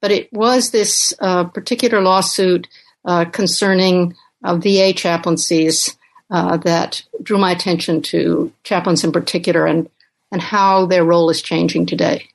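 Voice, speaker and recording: An older woman from Uganda, a professor and author, answering interview questions thoughtfully with many pauses and fillers. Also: fairly low pitch, fairly even intonation, gravelly. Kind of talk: podcast interview.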